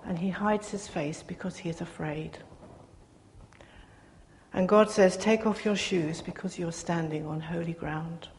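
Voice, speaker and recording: An older woman giving a soft-spoken, reflective personal sermon to her congregation, pausing often as she speaks. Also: on the low side, fairly even intonation, a little hiss or hum. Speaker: preacher.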